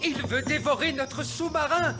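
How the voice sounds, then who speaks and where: Cartoon elf skipper: high voice, brisk and commanding, over loud background music. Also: male voice. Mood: grave explaining. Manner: commanding, declamatory. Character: brisk, bossy male elf submarine captain. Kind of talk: animated cartoon dubbing.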